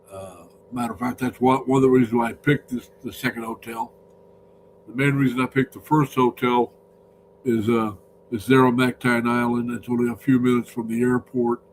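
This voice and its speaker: A man hosting a casual live stream, his voice deepened by a cold, rambling and greeting viewers by name. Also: slight background noise, poor audio.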